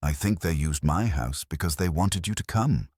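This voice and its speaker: A man voicing a deep, wisecracking detective's sidekick. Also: normal speed, darkish tone, breathy edge, no room sound, studio quality. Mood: wry, brisk. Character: wisecracking, confident male private investigator's assistant.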